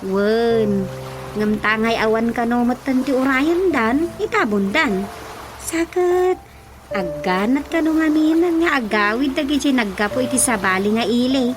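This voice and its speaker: High-voiced Ilocano woman. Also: expressive, measured pace, over music. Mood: conversational. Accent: Filipino, Ilocano. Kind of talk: radio drama acting.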